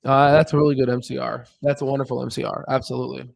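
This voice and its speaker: A man hosting a casual live stream, thinking aloud in a halting way as he comments. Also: mid-range voice, flat delivery, gravelly, no room sound.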